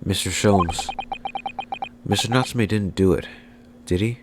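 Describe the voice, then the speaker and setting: A man's voice: low voice, a little slow, heavy background noise. A man voicing an earnest young defense lawyer in a plain, questioning manner. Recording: game music behind.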